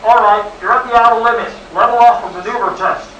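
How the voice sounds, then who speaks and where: An actor in a live amateur radio play, playing an urgent, commanding ground controller talking a pilot through a flight. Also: male voice, high voice, fairly even intonation, quick pace, sharp tone, highs cut off, a little hiss or hum. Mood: brisk, commanding. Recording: distant, echoey live hall recording.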